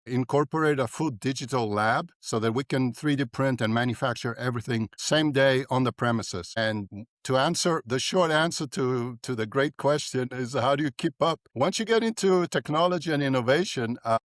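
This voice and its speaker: An older man from South Africa speaks as a dentist guest on a podcast, animated and fond of checking in with "right?". His voice sits at a middle pitch. The sound is dry and close. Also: moderate pace, dry recording. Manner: animated, repeats "right?" often. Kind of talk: podcast interview.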